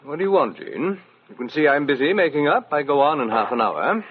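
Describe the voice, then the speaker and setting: An actor playing a vain, condescending middle-aged Broadway star in a 1940s radio drama, suave and mocking, on a muffled old recording. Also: male voice, on the high side, big pitch swings, brisk, background noise. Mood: mocking, smooth.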